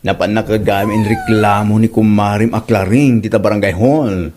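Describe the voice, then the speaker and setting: A man's voice: fairly low pitch, wildly swooping pitch, normal speed, a little mellow, slight background noise. A man playing a villager passing on neighborhood news in a comedy drama, with an Ilocano Filipino accent. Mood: reporting. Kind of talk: amateur comedy radio-style drama.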